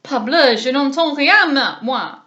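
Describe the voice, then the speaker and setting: A woman voicing an indignant, excitable gentleman. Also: high-pitched, takes it slowly, highs cut off, voice pushed up, exaggerated voice. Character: excitable French gentleman, man.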